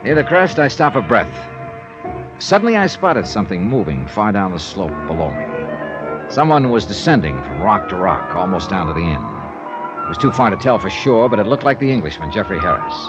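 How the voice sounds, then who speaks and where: A man's voice: on the low side, very animated, moderate pace. An actor playing a cool, tough American investigator, narrating his case in clipped, dramatic 1950s radio drama style. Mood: tense, watchful. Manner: clipped, dramatic first-person storytelling. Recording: dull old radio recording, loud music.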